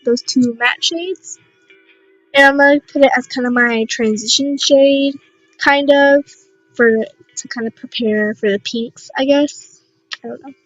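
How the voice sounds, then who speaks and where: Raspy, higher-pitched young woman. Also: fairly bright tone, no echo, poor audio. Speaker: makeup tutorial vlogger. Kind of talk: casual makeup tutorial narration.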